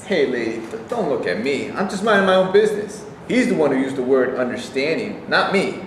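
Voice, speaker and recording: A man's voice: medium pitch, sing-song, fairly quick, natural voice. Man as a defensive fellow, heavily echoing hall. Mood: contrary. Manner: defensive, argumentative. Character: defensive, contrary man who argues back mildly. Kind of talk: staged reading of a play. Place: indoor stage reading, live room. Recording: very echoey hall, faint background noise.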